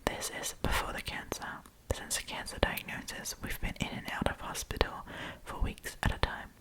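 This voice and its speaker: A woman whispering in a breathy, chatty, informal way as she hosts an ASMR storytime, reading aloud and commenting. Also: normal speed, fairly bright tone. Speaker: host of storytime series.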